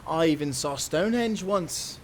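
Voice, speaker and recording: A man's voice: fairly high pitch, expressive, measured pace, a little hiss or hum. A man playing a cheerful, dreamy young sailor with old-time nautical speech in an audio roleplay. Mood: cheerful, wondering. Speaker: sailor guide and companion.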